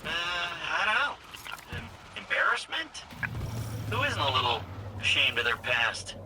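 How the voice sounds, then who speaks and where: An actor playing an eager, chatty, nervy male alien informant in a video game, talking in an excitable, informal, rambling way. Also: a little high, slow pace, noisy background, full character voice. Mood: sheepish. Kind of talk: video game character dialogue. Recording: loud music behind the voice.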